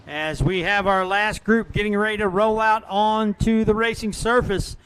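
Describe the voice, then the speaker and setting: A man's voice: high-pitched, steady tone, moderate pace, a bit bright, clean tone. A man working as a dirt track race announcer, calling out drivers, car numbers and lap times in live commentary. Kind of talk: live motorsport commentary over a PA, reading names and times.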